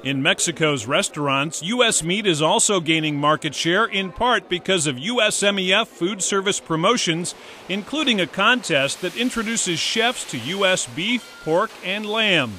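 A male narrator with a high, bright voice reads a corporate feature script. His delivery is measured and polished, recorded as a clean studio voice-over. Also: lively intonation, slight background noise.

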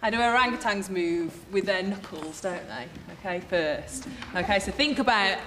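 A woman's voice: mid-range voice, normal speed, background noise. A woman, a drama teacher, explaining things off the cuff and rephrasing as she goes. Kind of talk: spontaneous explanation. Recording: clear hubbub of voices behind.

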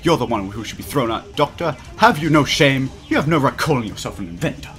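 A man voicing a theatrical, indignant young mad scientist in a visual novel, loud, dramatic and accusatory. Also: medium pitch, expressive, fast, soft background music, lightly acted. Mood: outraged.

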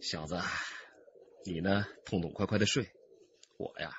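Kidnapper: deep, animated, greedy schemer muttering to himself, radio play, pigeons cooing behind. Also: male voice, measured pace, dull audio, slight background noise. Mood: smug gloating. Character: scheming greedy male kidnapper gloating over ransom.

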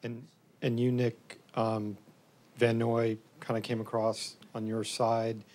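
A man's voice: medium pitch, monotone, takes it slowly, a little mellow. A man, a sports reporter, asking players probing questions at a press conference, stumbling now and then.

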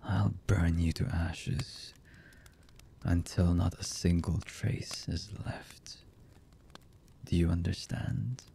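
A voice actor playing a cold, embittered villain man in an ASMR roleplay, husky and menacing, with halting delivery that often drops to a murmur. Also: warm tone, a little hiss or hum. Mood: threatening, probing. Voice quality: husky, low.

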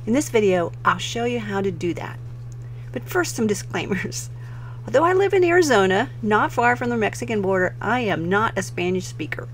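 A woman with an American accent presents a software tutorial as a voice-over, explaining clearly step by step. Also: medium pitch, lively intonation, moderate pace, slight background noise, poor audio. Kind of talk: instructional screen-recording narration.

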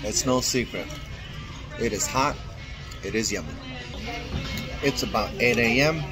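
A man's voice: mid-range voice, unhurried. A man in his thirties filming a casual food vlog, chatty and upbeat as he narrates, recorded on a handheld camera in a small diner. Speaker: vlogger. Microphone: handheld camera at arm's length. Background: music playing.

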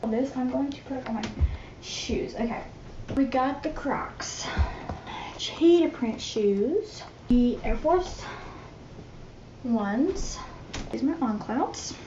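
A woman's voice: high-pitched, slow, pauses often. Chatty young woman in an echoey bedroom. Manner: chatty, rambling, mock-dramatic about small things. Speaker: vlogger. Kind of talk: casual clean-with-me vlog chatter. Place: carpeted bedroom, indoors. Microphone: phone camera set across the room. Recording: echoey bedroom, dull phone audio with steady hiss.

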